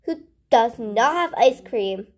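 High-pitched, slow, hesitant female gamer. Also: animated, a little hiss or hum. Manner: hesitant, trailing off into murmurs. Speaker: gaming channel host. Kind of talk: casual gameplay commentary, thinking aloud.

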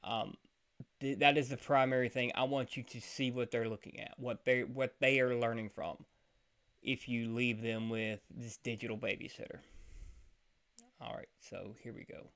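A man's voice: medium pitch, steady tone, moderate pace, fairly bright tone. A man hosting a casual reaction commentary, talking bluntly and sounding irritated. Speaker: commentary host.

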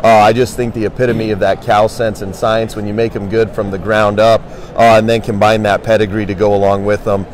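Low-voiced man describing livestock. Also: flat delivery, a little fast. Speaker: livestock specialist on panel. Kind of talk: casual livestock commentary. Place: indoor convention hall booth.

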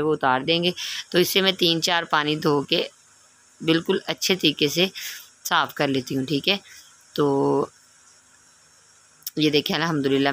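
A woman's voice: deep voice, a bit bright. A woman narrating a home recipe in a casual, instructive way, often checking in with the listener as she explains each step. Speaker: home cooking channel host.

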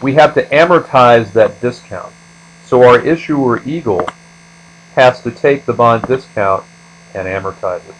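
A man's voice: mid-range voice, a little slow, slight background noise, rough recording. A man giving a step-by-step screencast lecture as an instructor, explaining things methodically. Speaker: accounting instructor.

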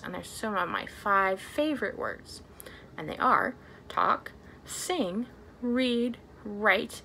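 A woman's voice: medium pitch, creaky, pushed and bright, slight background noise. A young adult woman presenting a storytime for small children as a librarian, speaking to them in simple, encouraging phrases with lots of questions.

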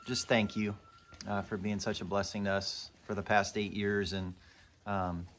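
A man with a low voice and very flat intonation offers heartfelt thanks. He speaks informally and sounds quiet and distant, over faint noise. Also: unhurried. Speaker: church member giving thanks.

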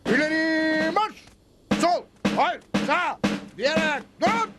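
High-pitched, blustering cartoon general. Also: male voice, expressive, slow, heavily acted. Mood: commanding. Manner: bossy, blustering, barking orders. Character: pompous, self-important Mexican village officer playing general. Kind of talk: animated cartoon dub. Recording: music behind the voice.

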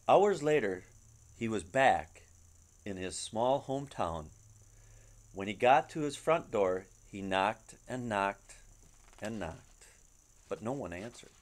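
A middle-aged man reading a children's picture book aloud at home in an expressive, dramatic storytelling manner. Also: on the low side, slow, long pauses. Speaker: storybook reader. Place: living room at home. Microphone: webcam at about arm's length.

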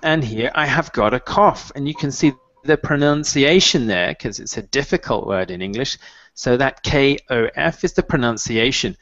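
A man's voice: medium pitch, animated, fairly bright tone. A man in his thirties teaching an online English mini-lesson, patiently modeling phrases over a headset on a video call. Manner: clear, patient, encouraging, models phrases slowly. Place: small room at home, video call. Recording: dull, dry video-call audio.

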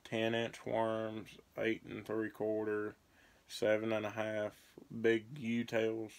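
Laid-back young man, gravelly drawl. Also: fairly low pitch, completely flat delivery, slow pace. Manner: rambling, laid-back, listing baits as he handles them. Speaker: fishing vlogger. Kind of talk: casual tackle show-and-tell. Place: small bedroom indoors. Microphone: phone camera at arm's length. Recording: crinkling plastic bait bags behind the voice.

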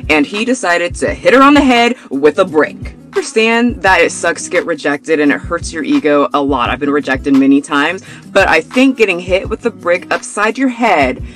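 A man's voice: a little high, animated, normal speed, hardly any pauses, fairly bright tone. A man giving pointed, explanatory social media commentary. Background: music.

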